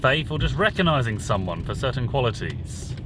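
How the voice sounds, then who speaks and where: A man's voice: mid-range voice, big pitch swings, brisk, noisy background. A man musing aloud informally and retelling stories, thinking as he goes and correcting himself. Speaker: solo commentator on Bible and fairy tales.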